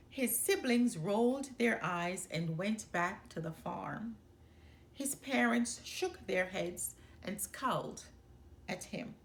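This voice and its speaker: Woman, children's storyteller, very slow pace, lively swooping intonation, deliberate read-aloud phrasing. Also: medium pitch. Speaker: storyteller, channel host.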